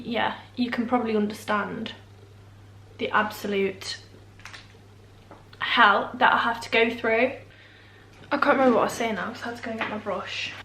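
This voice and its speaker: A woman's voice: on the high side, fairly bright tone. Chatty young woman, slightly nasal. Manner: rambling, self-deprecating, lots of fillers. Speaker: beauty vlogger. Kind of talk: casual chatty vlog. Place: room at home, indoors. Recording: echoey room.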